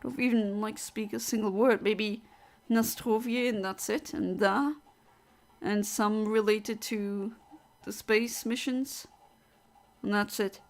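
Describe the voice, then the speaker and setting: A woman's voice: medium pitch, steady tone, takes it slowly. A woman from Europe, a live streamer, chatting casually and answering her viewers' comments aloud while she plays.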